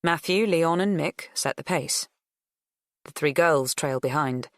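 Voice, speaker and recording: Female narrator for first-person fiction audiobook. Low register, very dry recording. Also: a little slow, no echo.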